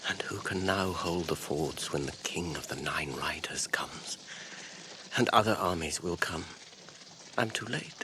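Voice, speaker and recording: An actor playing a wounded, weary, haunted hobbit in a radio drama, speaking in a strained, earnest, halting way. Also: male voice, low-pitched, noisy background. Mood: weary, despairing.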